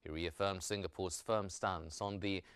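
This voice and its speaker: A man working as a news anchor, reading a scripted bulletin in a formal, measured newsreader delivery. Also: low-pitched, fairly even intonation, a bit bright, clear, resonant tone, no echo, studio quality.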